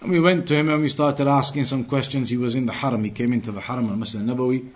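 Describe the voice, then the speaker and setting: A man's voice: mid-range voice, fairly even intonation, rapid-fire. A man with a British accent giving an Islamic lesson as a teacher, repeating phrases for emphasis and explaining with everyday analogies. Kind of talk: religious lecture.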